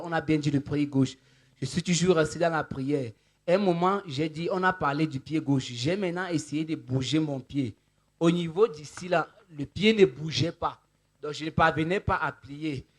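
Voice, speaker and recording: Higher-pitched man giving spontaneous testimony. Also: a bit bright. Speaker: church member giving testimony.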